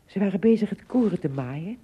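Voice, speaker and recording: A woman narrating an adventure tale in a radio play, with expressive, dramatic phrasing and a husky, breathy voice. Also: mid-range voice, fairly quick, lo-fi sound, slight background noise, lightly acted. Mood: suspenseful storytelling. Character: female storyteller of an adventure tale.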